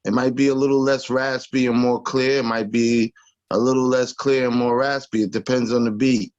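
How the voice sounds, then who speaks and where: A man from Long Island with a raspy voice and a New York accent, talking loosely as a rapper guest in an interview, with lots of fillers and restarts. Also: mid-range voice, flat delivery, moderate pace, a little mellow, lo-fi sound. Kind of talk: casual interview talk.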